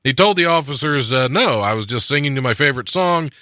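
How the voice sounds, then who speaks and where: A man's voice: medium pitch, animated, fast talker, sharp tone, dry recording, studio quality. An American man of about forty hosting a podcast, chatting casually and reading odd news items with joking self-deprecation. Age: around 40. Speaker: podcast host. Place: small studio. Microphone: close studio mic on a stand.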